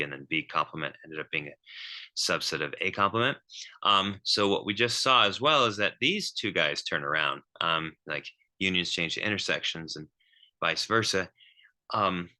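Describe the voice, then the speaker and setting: Male math lecturer, low and very bright voice, explanatory, halting with ums and restarts. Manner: thinking aloud with many ums and restarts.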